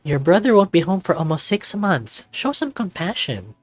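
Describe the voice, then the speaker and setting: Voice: medium pitch, big pitch swings, moderate pace, no room sound, soft background music, pitched above the performer's own voice. A performer, a man, voicing an anxious, doting mother in a furry visual novel, fussing and motherly. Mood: gently firm. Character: anxious, doting furry mother fretting over her son. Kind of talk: visual novel character reading.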